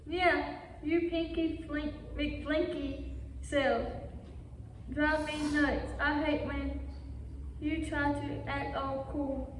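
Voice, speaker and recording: A cocky schoolboy puppet, acted by a woman, taunts in a high, slow voice. The sound is distant and rings around a hall with faint noise. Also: flat delivery. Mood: scornful. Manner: taunting, insulting. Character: cocky bullying schoolboy puppet, sneering and crude. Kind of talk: puppet show comedy.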